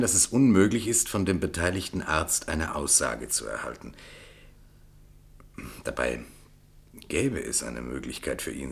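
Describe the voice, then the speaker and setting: An actor playing an eloquent, shrewd and courteous male defence lawyer in a German radio play, rhetorical and emphatic. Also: deep voice. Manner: rhetorical, emphatic in court, dry and measured in private.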